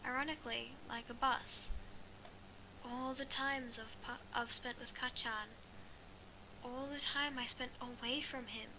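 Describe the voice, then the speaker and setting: High-voiced woman reading aloud, noisy recording. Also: steady tone. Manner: halting, stumbling and rereading phrases. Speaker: amateur fan fiction reader. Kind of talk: reading a first-person story aloud.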